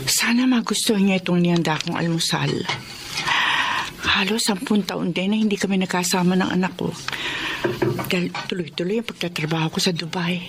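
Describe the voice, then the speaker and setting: A woman's voice: lowish voice, fairly quick, background noise, natural voice. An actress playing an eager, affectionate mother home from working abroad, earnest and longing for her daughter, in a radio drama. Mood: wistful.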